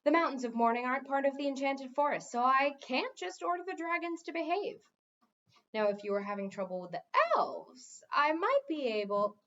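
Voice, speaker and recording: A woman's voice: high voice, lively intonation, moderate pace, a bit bright, lo-fi sound, pitched above the performer's own voice. A woman voicing a young forest king in a children's fantasy story, curt and dignified with some irritation. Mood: exasperated. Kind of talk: children's fantasy audiobook reading.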